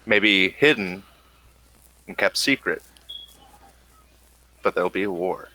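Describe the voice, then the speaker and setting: A man with a gravelly voice narrating casually as the game master of a tabletop game. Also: deep voice, slow pace, sharp tone, poor audio.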